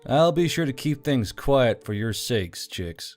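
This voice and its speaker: A man plays a military warlord in an audiobook story. He is wry and tender, with a mid-pitched voice over faint music. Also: animated, a little slow, lightly acted. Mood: sheepish.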